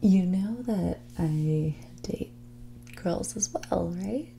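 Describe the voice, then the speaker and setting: Casting: a woman as mean rich girl for ASMR roleplay, breathy whispers and soft speech, low and mellow, condescending and bratty. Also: a little hiss or hum, heavily acted. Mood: dismissive. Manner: condescending, bratty, dismissive, drawn-out casual phrasing. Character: mean, snobby rich young woman on a bad date. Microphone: close ASMR microphone.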